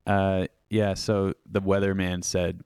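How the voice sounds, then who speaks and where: A man's voice: sits low, flat delivery, a little mellow, clean tone, dry recording. A man hosting a music podcast from a home studio, reading a sponsor spot and then chatting playfully with a co-host, joking and stretching words for emphasis. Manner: playful, jokey, drawn-out emphasis. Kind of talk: casual chat with an ad read. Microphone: studio microphone. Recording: dry close studio mic.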